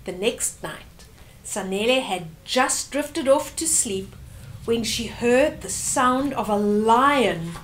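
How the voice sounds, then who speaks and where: A woman's voice: a little high, expressive, slow pace, fairly bright tone, slight background noise, poor audio. An older woman hosting a story time, reading aloud expressively and explaining things to young children.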